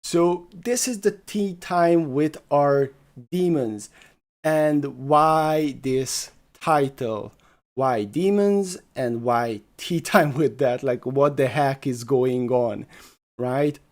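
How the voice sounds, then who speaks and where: Slow, deliberate male spiritual teacher. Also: medium pitch, a little mellow. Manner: slow, deliberate, emphatic with repeated phrases. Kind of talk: explanatory talk on self-development.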